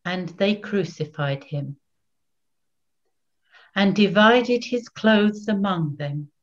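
An older woman gives a solemn scripture narration in short measured phrases. The pace is slow and the pitch ordinary, recorded a little dully over a home video call. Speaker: narrator, Evangelist. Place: small room at home. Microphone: computer video call. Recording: dull lo-fi video-call audio.

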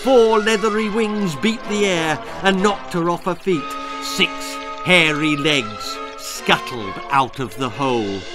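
An older man tells a spooky, funny children's tale. He is deliberate and theatrical, taking his time to build suspense, with music clearly playing behind him. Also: fairly high pitch, low-quality recording. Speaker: author reading his own story. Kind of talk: dramatic children's horror-comedy storytelling. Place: book-lined room indoors.

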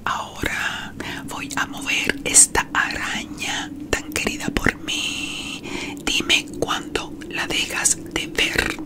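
A middle-aged woman whispers right up at the microphone as a playful vampire doctor. Her delivery is very slow and soothing, with a theatrical touch, in the style of an ASMR medical exam. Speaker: ASMR performer. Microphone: close studio microphone on desk.

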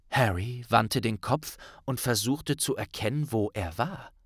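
A man's voice: mid-range voice, a bit bright, no room sound, studio quality. A man reading fiction as a dramatic, scripted audiobook narration.

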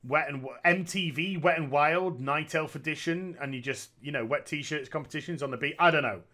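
A man's voice: fairly high pitch, fairly quick, pushed and bright. A man, giving sarcastic, opinionated commentary on a game from a small room, speaking into a headset. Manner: sarcastic, opinionated, jokey asides. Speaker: gaming commentator.

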